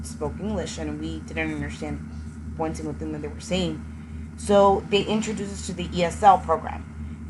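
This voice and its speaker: Low-voiced earnest young woman, traffic noise. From Texas. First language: Spanish. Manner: earnest, pausing often with ums. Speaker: education student. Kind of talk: personal testimony. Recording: noisy with passing vehicle sounds.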